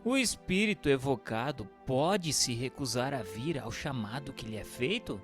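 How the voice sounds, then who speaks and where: A man playing an earnest questioner in a radio drama, putting formal questions in a measured way. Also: medium pitch, animated, close to an everyday voice. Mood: earnest, inquiring. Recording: music under the voice. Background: background music.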